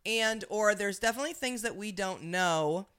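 A woman co-hosting a podcast, talking about politics in an animated, profane way, often restarting her sentences. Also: mid-range voice, normal speed, clear, resonant tone. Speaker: podcast co-host. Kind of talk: casual political chat.

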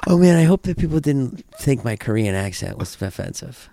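A man's voice: mid-range voice, big pitch swings, brisk, warm tone, no echo. A young man co-hosting a casual podcast, laid-back and teasing. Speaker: podcast co-host.

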